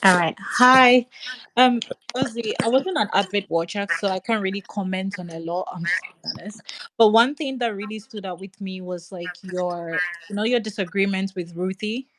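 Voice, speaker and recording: A woman's voice: medium pitch, normal speed, fairly bright tone, slight background noise, poor audio. Earnest woman from Ghana asking a question. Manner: earnest, hesitant, with fillers. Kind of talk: casual question in a live audio chat.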